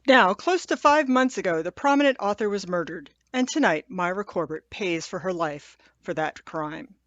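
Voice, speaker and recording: A woman's voice: lowish voice, animated, normal speed, fairly bright tone, dry recording, dull audio. A woman playing a sober radio news announcer, formal and dramatic, heard as a broadcast within a radio play. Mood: solemn. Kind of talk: old-time radio newscast acting.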